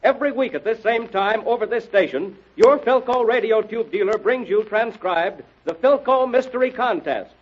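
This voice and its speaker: A man playing an excitable radio announcer, delivering punchy scripted lines for a mystery contest show. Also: moderate pace, few pauses. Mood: excited. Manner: excited, punchy sales pitch. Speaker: announcer and narrator. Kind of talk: old-time radio announcing, scripted narration. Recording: dull old radio recording, music behind.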